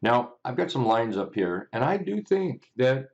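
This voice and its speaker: A man hosting a live stock market chart session, chatty and rambling, correcting himself often, with a raspy morning voice. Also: mid-range voice, big pitch swings, measured pace, muffled recording. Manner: chatty, rambling, self-correcting, greets viewers by name. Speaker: stock market livestream host. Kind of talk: casual live chart commentary.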